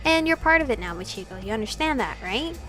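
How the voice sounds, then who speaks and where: A woman's voice: fairly high pitch, animated, quick pace, pushed and bright, soft background music. A woman voicing an angry, passionate young male vampire rebel in a visual novel, accusing and insistent. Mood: impassioned. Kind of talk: visual novel read-aloud.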